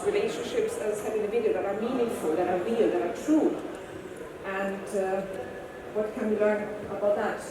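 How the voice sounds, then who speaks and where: A woman with a German accent gives a conference talk as a horse-assisted coach, earnest and measured. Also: medium pitch, noisy background. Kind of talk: conference presentation. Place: large conference hall. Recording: echoey hall, distant mic.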